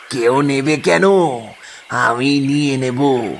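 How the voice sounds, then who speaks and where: A man's voice: mid-range voice, creaky, a bit bright, a little hiss or hum, heavily acted. A man playing a greedy, murderous villain in a horror audio story, sinister and gloating.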